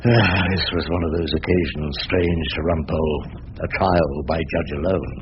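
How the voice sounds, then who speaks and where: An actor playing a wry, theatrical older English barrister who argues floridly and narrates sardonically in a radio drama. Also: male voice, low voice, steady tone, normal speed, natural voice. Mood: dry wry narration. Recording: dull, very noisy radio drama recording.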